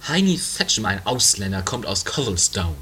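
A woman's voice: very deep voice, very animated, normal speed, a bit bright, slight background noise, full character voice. A woman playing a fussy, grumpy prison warder who dithers and hesitates in an improvised comedy radio play. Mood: weary, fussy.

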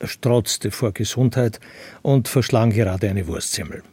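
A man reading scripted radio drama narration in a measured, literary and dry manner. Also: on the low side, darkish tone, breathy edge.

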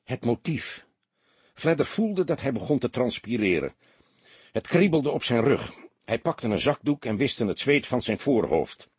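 A man's voice: medium pitch, big pitch swings, measured pace, slightly rough, no echo. A man reading a crime story aloud as an audiobook narrator, in a dramatic scripted style.

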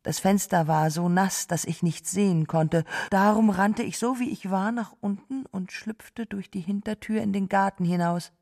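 An actress playing a gentle, grieving wife who remembers a lost love in a halting, subdued way in a radio drama. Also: female voice, medium pitch, lightly acted. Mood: pained remembering. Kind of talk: literary radio drama monologue.

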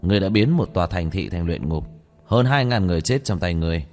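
A man acts out a stern male hero of a fantasy audiobook, cool and unyielding. The voice sits low and firm, with faint music behind it. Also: expressive, quick pace, darkish tone. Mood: cold, stern.

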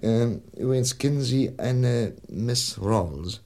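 An actor playing a calm, probing FBI inspector in a radio crime drama, matter-of-fact, patient and insistent. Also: male voice, medium pitch, slow. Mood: calm, reassuring.

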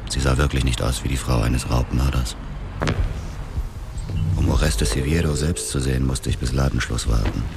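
Very deep, brooding avenger. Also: male voice, noisy background. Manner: brooding, measured first-person telling. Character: grief-haunted, obsessive man hunting a robber, narrating. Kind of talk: radio crime drama narration and dialogue. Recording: music behind the voice.

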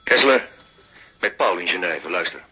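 Radio drama handler of assassins: low, very forceful male voice, curt, cold, in command. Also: lively intonation, moderate pace, a little hiss or hum, poor audio. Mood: stern, cold. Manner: curt, authoritative, businesslike.

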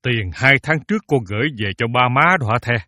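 A man's voice: medium pitch, expressive, fairly quick, bright, forceful tone, no room sound, clean studio sound. A man playing a middle-aged, worried village father in an audio story, polite and plain-spoken with a Southern Vietnamese accent. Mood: conciliatory, pleading. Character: humble, worried older village father, patient and conciliatory. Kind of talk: audio story drama dialogue.

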